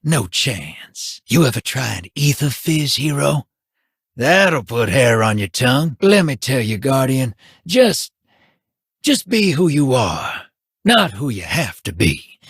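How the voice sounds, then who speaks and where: A husky, wisecracking rogue gambler. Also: male voice, mid-range voice, sing-song, slow, fairly bright tone, no room sound, clean studio sound, heavily acted. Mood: sly. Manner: wisecracking, drawling, folksy slang. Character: wily, scruffy male gambler and rogue. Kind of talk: video game voice lines.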